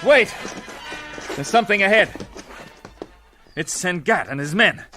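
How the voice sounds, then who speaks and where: Commanding pulp hero, old radio serial, highish lively voice, in charge. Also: male voice, measured pace, music in the background. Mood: urgent command. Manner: authoritative, decisive, crisp. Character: commanding, level-headed adventurer hero leading his team. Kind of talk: old-time radio adventure acting. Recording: reverberant old-time radio recording.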